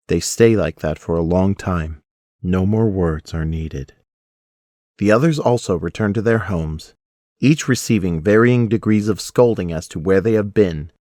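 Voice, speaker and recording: A man's voice: low-pitched, a little mellow, no room sound, studio quality. A man narrating a scripted audio drama as its storyteller.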